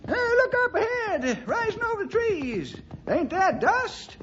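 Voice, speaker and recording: A high, cracking old cowboy sidekick, full of exclamations and rustic turns. The pitch leaps about wildly in an old radio western style. The recording is dull and vintage. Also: male voice, sing-song, measured pace, a bit bright, soft background music, full character voice. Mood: amazed. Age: older. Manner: folksy, exclaiming, rustic drawl. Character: excitable folksy old male cowboy sidekick.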